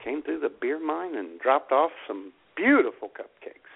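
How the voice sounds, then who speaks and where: A man's voice: on the high side, very animated, normal speed, vocal fry, breathy edge. A man co-hosting a casual comedy radio show, chatting in an easygoing, folksy way. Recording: thin phone-like internet radio audio.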